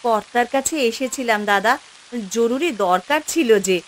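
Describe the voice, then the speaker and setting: A woman's voice: on the high side, animated, normal speed, slight background noise, close to an everyday voice. A woman voicing a worried, dutiful poor village wife and mother in a cartoon, speaking in a plaintive, homely way. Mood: distressed. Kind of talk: Bengali fairy-tale cartoon voice acting.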